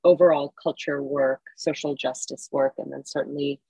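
Guest author from Singapore: a woman with a low, husky voice, earnest and encouraging, recorded dry and close. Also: steady tone, moderate pace, warm tone, no room sound, low-quality recording. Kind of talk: podcast interview answer.

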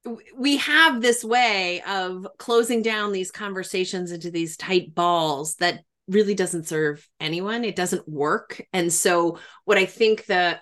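Lowish-voiced woman, earnest podcast interviewer. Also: a bit bright. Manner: earnest, emphatic, explanatory. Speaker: podcast interviewer, grief professional. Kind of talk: reflective interview talk.

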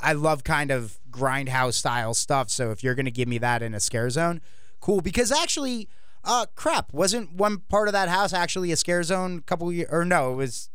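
Animated, rambling male podcast host. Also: fairly high pitch, normal speed, smooth, no room sound, studio quality. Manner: rambling, animated, playful, with many restarts. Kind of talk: casual podcast chat.

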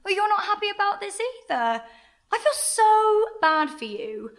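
An actress playing a feisty, sarcastic adventure heroine in a radio play, speaking bluntly and indignantly. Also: female voice, very high voice, big pitch swings, unhurried, full character voice.

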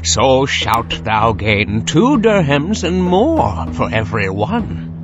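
A man playing an unhurried sheikh of market brokers in an audio drama, giving drawn-out, halting counsel. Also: mid-range voice, very animated. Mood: slow, advising. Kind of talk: theatrical audio drama acting. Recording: dull lo-fi sound, music behind.

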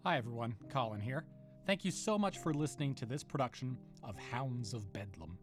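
A man's voice: mid-range voice, expressive, a little fast. A man hosting an audio drama production, giving a friendly scripted outro with thanks and credits. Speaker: producer and show host. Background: guitar music.

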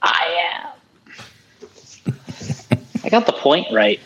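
A man's voice: on the high side, sing-song, extremely slow, a bit bright, muffled recording, slight background noise. A man co-hosting a casual podcast, chatting about an RC race in a loose, rambling way with lots of restarts. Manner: rambling, restarts often, easygoing. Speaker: podcast co-host. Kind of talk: casual chat, race commentary.

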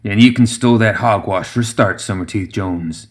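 An ancient gremlin brewmaster, acted out by a male narrator, gruff and proud. He talks slowly in a folksy dialect, like a backwoods moonshiner in a fantasy tale. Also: lowish voice, natural voice. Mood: sharp.

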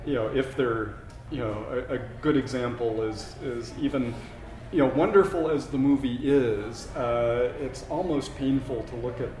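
A man, an author, talking casually about his research, halting with many fillers and repeats. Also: medium pitch, darkish tone, echoey room, slight background noise, low-quality recording. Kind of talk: casual conversation about writing.

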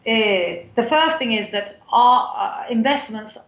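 A woman's voice: a little high, expressive, slow, echoey room, highs cut off. A woman from the UK with a British accent, a guest on a podcast interview, talking in a chatty way with many fillers and restarts. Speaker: guest, foundation CEO.

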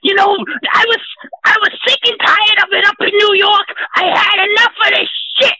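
A caller voicing a boastful, trigger-happy self-styled vigilante superhero who shouts in a whiny, near-crying voice. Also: very high-pitched, steady tone, moderate pace, a little hiss or hum, heavily acted, rough recording. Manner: boastful, aggressive, rambling.

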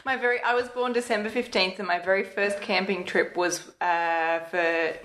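A woman's voice: medium pitch, fairly even intonation, normal speed, a bit bright. A woman, co-hosting a podcast in casual chat, giggly and self-deprecating. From Malaysia. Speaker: podcast co-host.

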